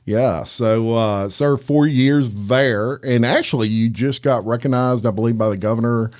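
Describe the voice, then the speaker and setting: A man's voice: mid-range voice, moderate pace, darkish tone. A man hosting a local podcast interview, upbeat and chatty, often restarting his sentences. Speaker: podcast host. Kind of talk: casual interview chat.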